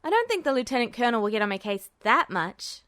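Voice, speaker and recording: A woman's voice: a little high, very animated, fast, a bit bright, smooth, no echo. A woman reading as a brash, smug teenage boy alchemist. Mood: cocky.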